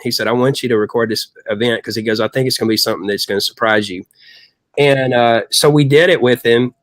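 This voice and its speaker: A man's voice: medium pitch, fast, dry recording. A middle-aged man telling casual anecdotes as a rock singer guest in a chatty, long-winded way.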